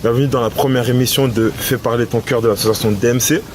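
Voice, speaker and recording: A man hosting an interview, asking questions calmly and answering with short acknowledgements. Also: medium pitch, quick pace. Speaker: host. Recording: distant mic with clear background noise.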